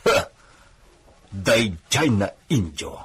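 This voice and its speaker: A man's voice: fairly low pitch, animated, very slow, fairly bright tone, slightly rough, full character voice. A man playing a haughty, hot-tempered Spanish-styled hacienda owner in a radio drama, with a domineering, scornful manner. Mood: scornful, brooding. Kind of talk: radio drama acting in Ilocano. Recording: noisy radio drama audio.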